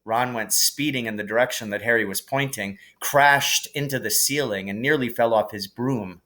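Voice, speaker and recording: A man reading a storybook aloud as an expressive narrator, in a measured, deliberate storytelling manner. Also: mid-range voice. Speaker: teacher reading a novel aloud.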